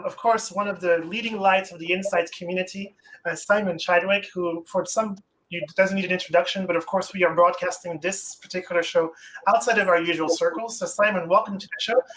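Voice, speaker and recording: A man hosting an online panel, introducing guests with a welcoming, slightly halting manner. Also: sits high, fairly even intonation, a bit bright. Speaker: host. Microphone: video call. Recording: noisy online call.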